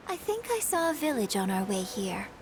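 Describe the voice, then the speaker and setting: A woman's voice: medium pitch, sing-song, moderate pace, noisy background, lightly acted. An actress voicing a courteous, composed young woman in a fantasy game. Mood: polite. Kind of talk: game voice acting.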